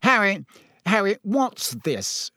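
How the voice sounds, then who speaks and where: Storybook minister, narrator in character: flustered, placating, raised lively pitch. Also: male voice, takes it slowly, dry recording, studio quality, exaggerated voice. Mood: agitated. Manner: agitated, placating. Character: flustered, patronising middle-aged government minister.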